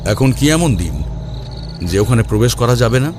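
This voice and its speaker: An actor playing a male storyteller who recalls his bold youth in conversational, expressive first-person narration. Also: medium pitch, normal speed, background noise, natural voice. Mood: reminiscing. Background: music.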